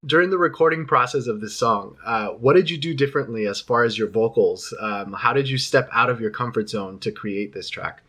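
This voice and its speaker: A man's voice: mid-range voice, brisk, few pauses, a bit bright, husky. A man hosting an interview over video call, asking long, enthusiastic questions with fillers and restarts. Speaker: interviewer host.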